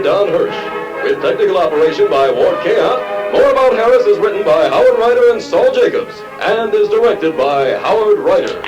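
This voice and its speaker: Male announcer for 1950s radio. Formal, emphatic delivery with lively inflection. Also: high-pitched, muffled recording.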